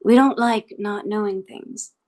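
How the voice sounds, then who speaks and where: A woman acting as an eerily composed, menacing interrogator in a script table read, speaking formally and deliberately. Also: mid-range voice, a little mellow, close to an everyday voice. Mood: controlled menace. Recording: music playing behind the voice.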